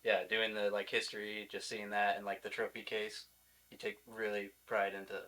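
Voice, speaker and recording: Young American man, flat and bright delivery. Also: lowish voice, moderate pace, poor audio. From Iowa, United States. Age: young adult. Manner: informal, hesitant, peppered with "like". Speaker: college baseball player, podcast guest. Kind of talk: casual interview answers.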